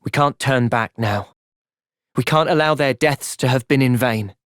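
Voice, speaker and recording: An actor playing a thoughtful, gentle but resolute young male soldier in a video game, with a British accent and a sincere, measured delivery. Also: mid-range voice, clear, resonant tone, dry recording, clean studio sound, natural voice. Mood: resolute, impassioned. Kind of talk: video game cutscene voice acting.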